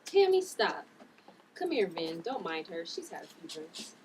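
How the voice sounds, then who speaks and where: A woman's voice: on the low side, big pitch swings, measured pace, a little mellow, recorded from a distance. A woman reading the part of a caring, exasperated sister who works as a TV producer, in a family drama table read. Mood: protective. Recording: noisy room, off the mic.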